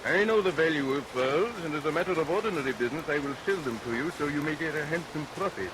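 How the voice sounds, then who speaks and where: An actor playing a menacing, murderous barber in a theatrical, sneering, mock-polite vintage radio melodrama style. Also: male voice, on the high side, fast talker, close to an everyday voice. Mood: haggling, sly. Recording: noisy vintage radio recording.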